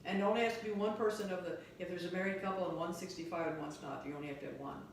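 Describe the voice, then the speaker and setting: Fast-talking matter-of-fact woman, heavily echoing room. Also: on the low side, fairly even intonation. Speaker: interview guest, county treasurer. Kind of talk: informal explanatory interview answers. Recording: very echoey, distant mic, faint noise.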